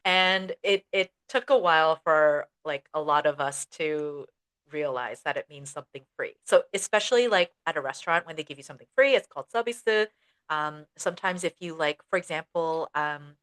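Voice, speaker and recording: A young woman chats as co-host of a teaching podcast, her voice sitting a little low. She tells stories with energy and slips into quick acted-out lines of dialogue. The tone stays relaxed and conversational. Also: smooth. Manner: animated storytelling, acts out quoted dialogue. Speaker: podcast co-host, ESL educator. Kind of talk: casual chat.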